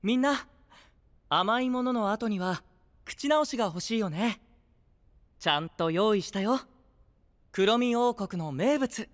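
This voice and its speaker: An actor playing a gentle, innocent, princely young man in an anime-style voice drama, kindly and guileless. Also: high voice, animated, a little slow, long pauses. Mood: innocent enthusiasm. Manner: soft-spoken, guileless, kindly. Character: gentle, innocent, warm-hearted princely young male knight.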